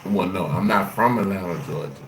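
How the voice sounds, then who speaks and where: A man's voice: deep voice, fairly quick, creaky. A man from Florida hosting a casual livestream, chatting loosely with viewers in slang and repeating his questions. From Jacksonville, Florida. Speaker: livestream host. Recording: echoey room with loud background noise.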